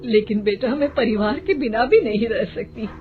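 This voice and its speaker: A woman's voice: fairly high pitch, normal speed, natural voice. A woman voicing a tender, sorrowful older mother in a cartoon family story, plaintive in manner. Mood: pleading. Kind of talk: cartoon moral-story acting. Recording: thin phone-like band, loud music.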